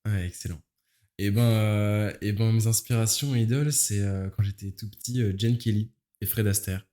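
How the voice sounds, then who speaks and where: Low-voiced, mellow young man. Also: fairly even intonation, normal speed. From Paris, France. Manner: informal, youthful slang, hesitant searching phrasing. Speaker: interview guest, musical theatre performer. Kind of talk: casual interview chat.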